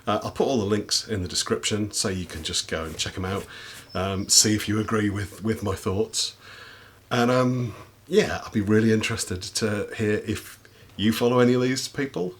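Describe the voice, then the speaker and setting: A man from the UK, a YouTuber, talking casually to camera in a slightly husky voice after losing it, rambling and self-deprecating with lots of ums. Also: fairly low pitch. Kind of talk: casual recommendation chat to camera. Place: indoors at home desk with big lights.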